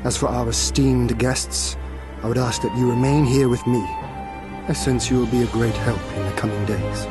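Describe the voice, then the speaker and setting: A male actor plays a young exiled samurai lord for a fantasy game. He speaks in measured, old-fashioned courtly phrases, wry yet resolute, at a middling pitch. Music plays clearly behind the voice. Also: fairly even intonation, natural voice. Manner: formal archaic diction, measured and courtly. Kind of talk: fantasy game cutscene acting.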